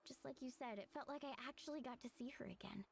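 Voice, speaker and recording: Very high-voiced, gentle young girl. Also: fast talker, vocal fry, slight background noise. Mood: wistful. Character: gentle, earnest young girl who cares for animal companion. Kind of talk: video game character acting.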